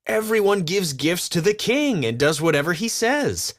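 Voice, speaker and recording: A man acts as a scheming lemur sidekick in a storybook. The voice sits fairly high, emphatic and coaxing, with lively swings in pitch. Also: moderate pace. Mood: warning. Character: scheming, persuasive male lemur aide. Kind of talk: children's storybook character voice.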